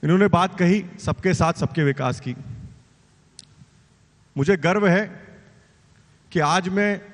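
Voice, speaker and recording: High-voiced party guy on a debate panel, punchy and rhetorical, hall echo. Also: takes it slowly. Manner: rhetorical and emphatic, addressing his opponent directly. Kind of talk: political debate speech. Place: large conference hall on stage. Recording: long hall reverb.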